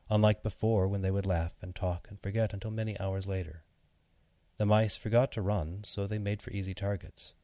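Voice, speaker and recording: Male storybook narrator, low pitch, deliberate expressive phrasing, scripted children's tale, dry close sound. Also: no echo. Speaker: audiobook narrator.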